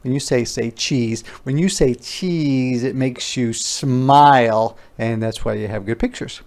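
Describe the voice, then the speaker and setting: A man doing an interactive picture-book read-aloud for young children, pausing to ask questions and explain words simply. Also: medium pitch, vocal fry, darkish tone. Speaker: teacher reading aloud.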